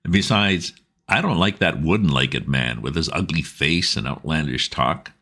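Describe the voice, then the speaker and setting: A man's voice: very deep voice, expressive, normal speed, pushed and bright, exaggerated voice. A man voicing a stout, red-faced, working-class boatman's wife who rambles anxiously in homely dialect.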